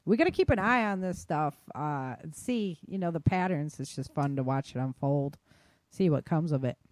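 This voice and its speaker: A woman's voice: low-pitched, animated, moderate pace, no room sound. An American woman hosting a podcast in an informal, chatty, self-deprecating way, talking through the news unscripted. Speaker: podcast host. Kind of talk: casual unscripted chat about news.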